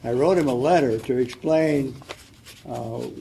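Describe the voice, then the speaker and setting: An older man reading a letter aloud as a panelist at a public forum, soft-spoken and reflective. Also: mid-range voice, measured pace, creaky, a little hiss or hum, rough recording. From United States.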